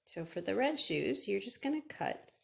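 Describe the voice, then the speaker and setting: A woman's voice: medium pitch, very animated, normal speed, vocal fry, fairly bright tone, slight background noise. A woman chatting through a step-by-step craft for children, asking questions and answering them herself. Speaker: children's librarian leading a craft.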